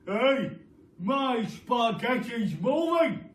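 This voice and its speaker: Man acting mean mischievous storybook husband, loud and forceful, raised pitch, alarmist delivery, roomy far-off recording. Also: sing-song, very slow, exaggerated voice. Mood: startled. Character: mean, mischievous husband scaring his wife. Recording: roomy, distant mic with noise.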